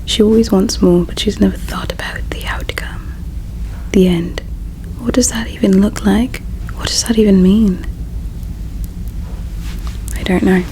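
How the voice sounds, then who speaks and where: A woman playing a gentle, caring alien in an ASMR roleplay, speaking in a slow, hushed, tender and wistful way with a breathy quality. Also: mid-range voice, steady tone, close to an everyday voice, low-quality recording. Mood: wistful, reflective. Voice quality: breathy whisper with creaky edges. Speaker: ASMR performer. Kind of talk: ASMR roleplay, whispered and soft-spoken.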